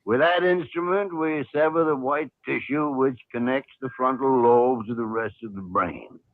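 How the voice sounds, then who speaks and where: A muffled, dry vintage radio recording carries a man acting a crusty older doctor. He is blustery, brusque and sardonic, delivering his lines in 1950s radio-drama style at a medium pitch. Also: moderate pace, no room sound, close to an everyday voice. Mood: grave explaining. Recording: old 1950s radio broadcast, muffled narrow band.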